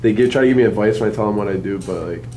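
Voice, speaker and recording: A man's voice: lowish voice, very fast, warm tone, slight background noise. Young man rambling through a question. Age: 20s. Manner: hesitant, many fillers. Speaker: workshop attendee asking a question.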